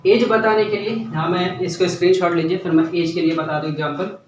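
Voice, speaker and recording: A man's voice: high voice, steady tone, brisk, muffled recording, slight background noise. A young man teaching a grammar lesson, repeating key points and often checking whether students follow. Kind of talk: classroom-style grammar lesson. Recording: echoey room, mic at a distance.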